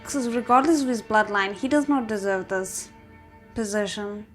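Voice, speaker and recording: A woman's voice: mid-range voice, normal speed, soft background music. A woman hosting a reaction video, giving casual commentary and thinking aloud.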